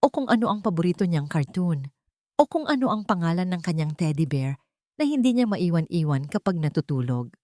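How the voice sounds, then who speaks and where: A woman narrator voicing a calm male attorney with measured, formal questioning in an audiobook drama. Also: lowish voice, no echo, studio quality. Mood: probing.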